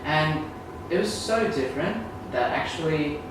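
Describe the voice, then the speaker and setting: A man's voice: a little high, animated, measured pace. A man from Vietnam answering interview questions, hesitant and repeating words, with many ums. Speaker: job candidate. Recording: echoey room, far from mic, noisy.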